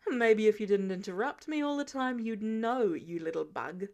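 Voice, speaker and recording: A woman's voice: medium pitch, lively intonation, normal speed, a little mellow, lightly acted. A woman voicing a sardonic older male inventor who teases his young companion in an audiobook story. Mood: exasperated, smug. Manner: dry, exasperated, teasing.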